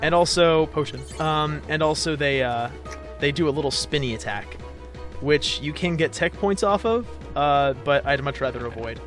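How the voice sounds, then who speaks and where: A man's voice: fairly high pitch, moderate pace, a bit bright. A man hosting a let's play with casual, jokey, self-deprecating gameplay commentary. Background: game music.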